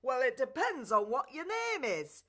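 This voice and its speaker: A woman's voice: high-pitched, sing-song, a little slow, fairly bright tone, full character voice. A woman voicing a tipsy, muddled fairy godmother with a Northern English accent in a children's radio play. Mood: nosy, cheeky. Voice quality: slurred, hiccuping delivery. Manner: rambling, folksy, familiar.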